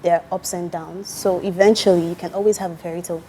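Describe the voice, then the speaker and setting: A woman, a guest singer giving animated opinions in a casual panel chat. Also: fairly low pitch, quick pace, slight background noise.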